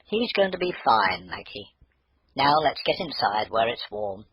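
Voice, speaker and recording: Kind storybook dad, read by a man, gentle and reassuring, mid pitch, faint noise. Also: big pitch swings, a little slow, poor audio. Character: kind, reassuring father of a little girl.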